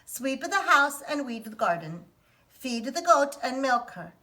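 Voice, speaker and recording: A woman voicing a kindly old grandma witch, speaking in a put-on Italian accent with slow, emphatic instructions and sing-song chants. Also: high voice, big pitch swings, pushed and bright, pitched above the performer's own voice, exaggerated voice. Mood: instructing.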